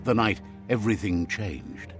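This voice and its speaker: A man narrating a game's story calmly, like a storybook, and relaying what a creature says. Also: on the low side, a little slow, lightly acted. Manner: calm storyteller, translating a creature's speech. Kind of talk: scripted storybook narration for a game. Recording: game audio with faint music behind.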